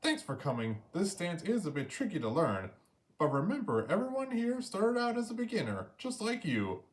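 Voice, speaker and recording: Man as a welcoming, high-pitched female dance teacher. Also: wildly swooping pitch, normal speed. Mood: reassuring. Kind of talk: children's storybook read-aloud.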